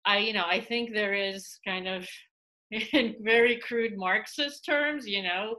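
Bright-voiced woman on a video call. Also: medium pitch, a little slow. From Russia. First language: Russian. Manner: animated, gestures while talking, many fillers and restarts. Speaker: museum curator, guest. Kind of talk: casual video-call interview. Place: small room at home. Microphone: laptop webcam, video call.

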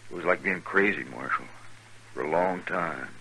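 Creaky, low, weary western ex-convict. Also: male voice, bright, forceful tone, slight background noise, exaggerated voice, rough recording. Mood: weary, contrite. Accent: American. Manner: halting, plain rustic speech. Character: weary, repentant ex-convict in the Old West. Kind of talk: 1950s radio western acting. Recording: 1950s radio recording.

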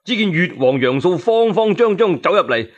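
A man's voice: high voice, very animated, normal speed, muffled recording. A man with a Cantonese accent telling a story as scripted, dramatic narration.

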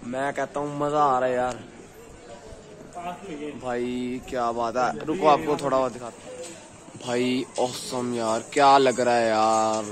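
A hoarse young man vlogging excitedly to a phone held at arm's length, outdoors at night and in a busy eatery. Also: medium pitch, measured pace, pauses often. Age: young adult. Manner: chatty, excited, talks straight to the viewer. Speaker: travel vlogger. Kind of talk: casual vlog to camera. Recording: crowd noise in the background.

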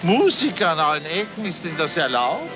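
A man's voice: on the high side, sing-song, fairly quick, music in the background. A man acting a courteous, genteel pickpocket with a suave manner in a 1970s radio play. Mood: charming. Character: genteel, smooth gentleman pickpocket nicknamed Baron.

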